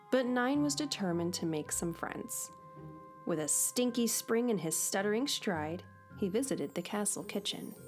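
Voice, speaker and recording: A woman narrating a children's storybook aloud, expressive and deliberate, with playful asides. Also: mid-range voice. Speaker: storybook reader. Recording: clear background music. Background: music.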